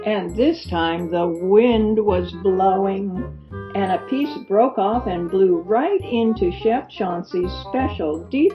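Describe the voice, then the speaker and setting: A woman reading a children's story aloud as an expressive narrator, stretching words for emphasis. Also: mid-range voice. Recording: dull lo-fi recording with music behind. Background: music.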